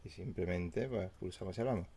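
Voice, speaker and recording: A man's voice: sits low, a little fast, dark tone. A man giving a casual, step-by-step unboxing review, explaining plainly as he goes. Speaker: product reviewer. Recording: clear background noise with handling crinkles.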